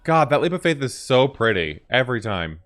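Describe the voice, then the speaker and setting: A man's voice: mid-range voice, big pitch swings, brisk, fairly bright tone, faint music behind. A young man giving casual reaction commentary as host of a video game channel.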